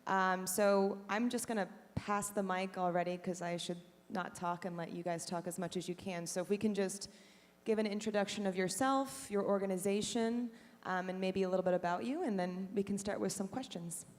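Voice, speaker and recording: A woman's voice: mid-range voice, steady tone, moderate pace. Woman moderating a panel in a big hall. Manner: friendly, filler-heavy with frequent "um". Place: conference stage in a large hall. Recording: long hall reverb.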